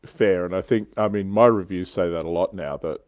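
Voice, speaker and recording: A man's voice: low-pitched, brisk, darkish tone, no echo, studio quality. A man hosting a casual panel discussion on a hobby livestream. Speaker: host of a tabletop wargaming livestream.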